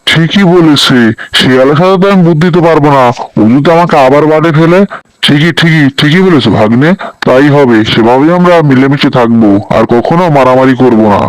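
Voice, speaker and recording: A man's voice: fairly high pitch, a little fast, no echo, close to an everyday voice, low-quality recording. A man voicing a bossy tiger uncle in a cartoon, scolding as he talks. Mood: conceding. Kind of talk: cartoon voice acting.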